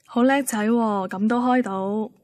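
A woman's voice: on the high side, steady tone, measured pace, a little mellow, dry recording, lightly acted. An actress playing a caring, demanding yet tender young girlfriend in a radio drama, speaking Hong Kong Cantonese. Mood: tender, apologetic. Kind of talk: radio drama acting and first-person narration. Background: music.

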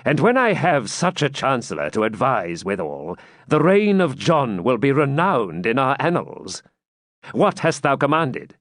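A man's voice: mid-range voice, very animated, a little slow, fairly bright tone, no room sound, studio quality, pitched above the performer's own voice. A man voicing a vain, imperious medieval prince with pompous archaic speech in an audiobook story. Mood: anxious, flattering.

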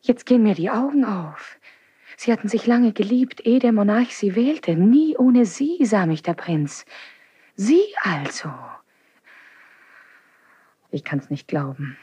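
A passionate young princess in a 1970s German radio play, wounded and vengeful. Her delivery is intense and theatrical, at a middling pitch, in a dry studio acoustic. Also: lively intonation, takes it slowly, long pauses, dark tone, husky, no echo, full character voice. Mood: bitter realisation. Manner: theatrical, intense, with sudden drops to whispers.

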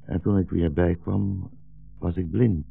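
An actor playing a weary, gentle old blind rabbi in a 1960s radio drama, speaking slowly and solemnly as a philosophical storyteller. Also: male voice, low-pitched, steady tone, vocal fry, husky, faint music behind, exaggerated voice. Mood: bitter, resigned. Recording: dull, roomy 1960s radio recording.

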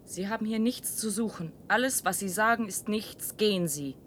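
A woman's voice: moderate pace, close to an everyday voice. An actress playing a confused, defensive woman devoted to her device in a radio play, vacant and repetitive. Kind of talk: German radio play acting. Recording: rumbly, noisy archive radio recording.

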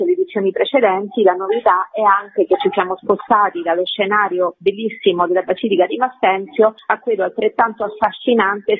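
A woman's voice: medium pitch, slightly rough. A woman interviewed on the radio over the phone as a festival curator, explaining things articulately in long flowing sentences. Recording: muffled narrow phone line.

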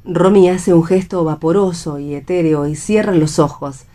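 A woman's voice: fairly low pitch, fairly even intonation, moderate pace, dark tone. A woman with an Argentine accent reading stage directions as the narrator of a radio play.

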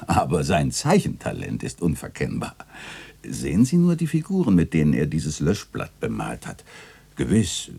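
Older learned gentleman, radio drama, dark gravelly voice, avuncular, pedantic, teasing. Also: extremely low pitch, full character voice. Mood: genial, wry. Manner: measured, pedantic, expressive. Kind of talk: German literary radio play acting.

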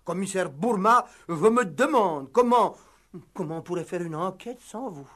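An excitable village police sergeant blusters with a very animated, swooping voice. He is pompous and keeps repeating himself, in the manner of a comic 1960s French radio play. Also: sits high, normal speed. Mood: flattered, gushing. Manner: blustering, repetitive, stammering over words. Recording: vintage radio drama recording.

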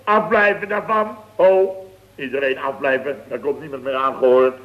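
A man's voice: mid-range voice, moderate pace, close to an everyday voice. Man playing a blustering, sputtering boss, muffled old recording. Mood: sarcastic outrage. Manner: sputtering, stammering, sarcastic. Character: blustering, exasperated male boss of a building firm. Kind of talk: radio comedy acting. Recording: dull vintage radio recording.

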